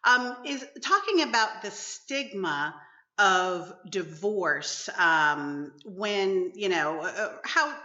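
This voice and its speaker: A middle-aged woman from the Caribbean hosting a podcast interview, speaking in a gentle, measured way. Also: medium pitch, expressive, muffled recording. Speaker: podcast host.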